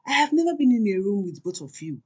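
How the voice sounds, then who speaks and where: A woman's voice: mid-range voice, big pitch swings, fast, husky, highs cut off. A woman voicing a long-suffering, defiant wife in a folktale, solemn and conspiratorial. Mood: grave, secretive. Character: Bolanle, grave, defiant, long-suffering secretive Nigerian wife.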